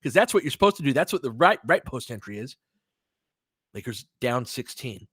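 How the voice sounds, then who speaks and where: A man's voice: mid-range voice, very animated, moderate pace, fairly bright tone, breathy edge, dry recording, studio quality. A man doing informal live basketball play-by-play, mixing short clipped calls with conversational coaching analysis and fan-like asides.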